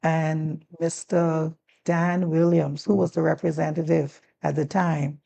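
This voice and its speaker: A woman's voice: low voice, unhurried, vocal fry, highs cut off. A woman in her sixties with a Turks and Caicos accent, a talk-show guest telling stories from her life and acting out the dialogue. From Turks and Caicos Islands. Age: 60s. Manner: animated storyteller who acts out quoted dialogue. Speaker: guest, former teacher and district commissioner. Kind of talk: relaxed storytelling in a talk-show conversation.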